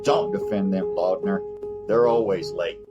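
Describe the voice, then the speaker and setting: Actor as a low-voiced, menacing crime boss. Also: male voice, measured pace, highs cut off. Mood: irritated. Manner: commanding, scolding. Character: impatient, menacing male crime boss and loan shark. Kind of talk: audio drama acting. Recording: guitar music behind the voice.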